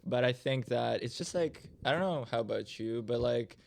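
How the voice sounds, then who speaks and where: A man's voice: mid-range voice, moderate pace, gravelly. A young man from Russia, a band's guitarist and singer, chatting loosely on a podcast with many fillers. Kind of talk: casual podcast chat.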